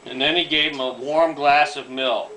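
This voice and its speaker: A middle-aged man reads a picture book aloud in a bright, forceful voice. He is playful and slips mischievous ad-libs into the story. The home recording carries faint noise and small clicks. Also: a little high, fairly even intonation, moderate pace, recorded from a distance, rough recording. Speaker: father reading a picture book aloud to family. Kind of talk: storybook reading with joking ad-libs.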